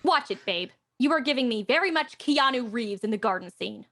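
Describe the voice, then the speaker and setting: An actress playing Irene, a conceited young starlet who is haughty and quick to snap back. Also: female voice, a little high, lively intonation, full character voice. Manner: haughty, sharp-tongued.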